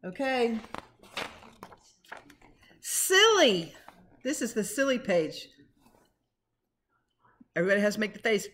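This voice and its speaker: Slow-paced, higher-voiced woman reading to children. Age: middle-aged. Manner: expressive, dramatic when reading, conversational asides to the children. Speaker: teacher reading a picture book to pupils. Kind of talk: interactive children's read-aloud. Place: small living room at home, indoors. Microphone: webcam or laptop at arm's length.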